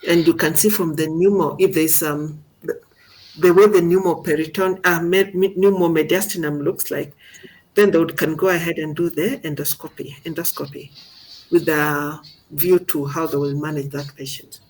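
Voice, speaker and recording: A woman's voice: low-pitched, fairly even intonation, normal speed, a little mellow, poor audio. A middle-aged woman, teaching radiology in an informal seminar and explaining things step by step with questions along the way. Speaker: radiology instructor.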